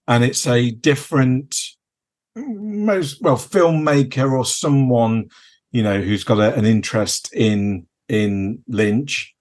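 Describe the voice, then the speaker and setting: A man's voice: mid-range voice, slow, darkish tone. Hesitant man in casual film chat. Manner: hesitant, meandering, searching for words. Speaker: podcast co-host. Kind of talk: casual chat about films.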